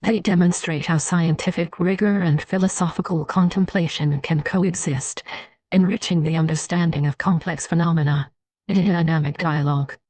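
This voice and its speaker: Mellow, low-pitched female narrator. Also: steady tone, moderate pace, no echo. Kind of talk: scripted analytical narration.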